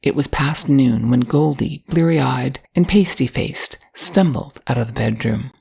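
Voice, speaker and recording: A woman's voice: extremely low pitch, a little slow, vocal fry, dark tone. An older woman narrating a short story from a script as an audiobook reader.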